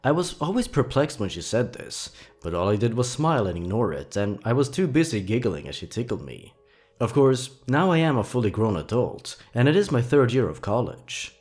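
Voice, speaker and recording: A man narrating scripted creepy stories in a dramatic, suspenseful, theatrical way with drawn-out pauses. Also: medium pitch, sing-song, a little mellow, faint music behind. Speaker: horror story narrator and channel host.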